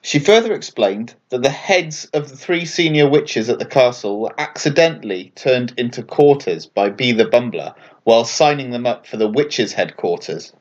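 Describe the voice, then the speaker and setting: A man's voice: medium pitch, expressive, normal speed, few pauses, dull audio. A man narrating a scripted children's bedtime story as a storyteller. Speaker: author and storyteller.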